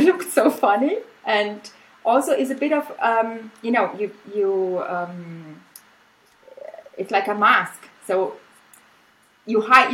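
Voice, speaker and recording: A middle-aged woman from Austria whose first language is German, speaking English in a relaxed, easygoing way. She laughs often and fills her talk with "um"s and restarts. The voice sits at a middle pitch and comes through a video call. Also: poor audio. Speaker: guest artist. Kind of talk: casual interview chat.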